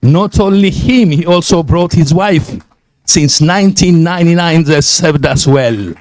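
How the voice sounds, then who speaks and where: A man with an Ethiopian accent giving an earnest, emphatic public speech at a civic meeting, halting often between phrases. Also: a little high, darkish tone. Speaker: community member seconding a nomination.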